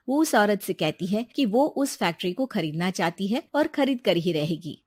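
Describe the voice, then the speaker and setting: A woman's voice: mid-range voice, no echo, studio quality. A woman narrating a scripted moral tale as storyteller.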